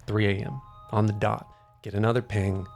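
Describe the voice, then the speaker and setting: A man acting a haunted, anxious rideshare driver in a naturalistic thriller, halting and repeating his words, with music behind him. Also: lowish voice, little pitch movement, a little mellow, lightly acted. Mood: uneasy. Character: haunted, anxious male rideshare driver recounting strange pickups.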